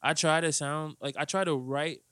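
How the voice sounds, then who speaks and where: A young man hosting a podcast, laid-back and slangy, with a soft, naturally high-pitched voice and frequent laughs. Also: steady tone, moderate pace, smooth, no room sound, clean studio sound. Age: young adult. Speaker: podcast host. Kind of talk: casual chat interview.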